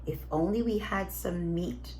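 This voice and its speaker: A woman voicing an eager, clever old wife from a storybook, with expressive exclamations. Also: mid-range voice, measured pace, slight background noise, close to an everyday voice, poor audio. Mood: wishful.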